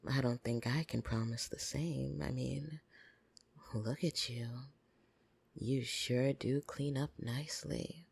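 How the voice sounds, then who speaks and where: A woman acts out a female vampire who dotes possessively on her human pet in an ASMR roleplay. Her voice is husky and heavy with fry, drawled out slowly and intimately. She teases, often sinking into a breathy whisper right at the microphone. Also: rumbling low, a little mellow, dry recording, heavily acted. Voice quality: husky, creaky. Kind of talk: ASMR roleplay, scripted monologue to the listener. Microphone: close, dry microphone.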